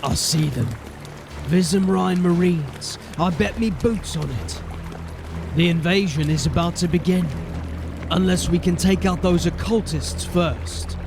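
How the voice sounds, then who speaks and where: Male commanding fantasy sergeant: high, gravelly, urgent and clipped, music underneath. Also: a little slow. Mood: grim, tense. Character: gruff, commanding city watch sergeant leading a desperate fight. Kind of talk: fantasy audio drama acting.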